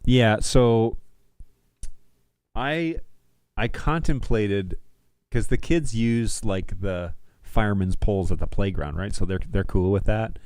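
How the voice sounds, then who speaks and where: A man's voice: lowish voice, takes it slowly, darkish tone, clear, resonant tone, no echo. A middle-aged man hosting a livestream, chatting informally and rambling as he thinks aloud while browsing. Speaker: livestream host.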